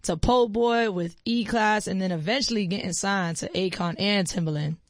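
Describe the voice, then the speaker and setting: A man's voice: sits high, normal speed, dry recording, studio quality. A man hosting a radio interview, asking casual, encouraging questions. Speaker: radio host.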